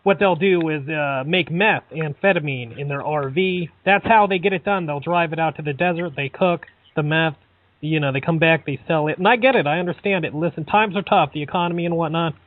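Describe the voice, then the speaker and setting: A man making a deadpan prank phone call, hesitant and stammering as he feigns earnest sincerity. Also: on the high side, brisk. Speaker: prank caller.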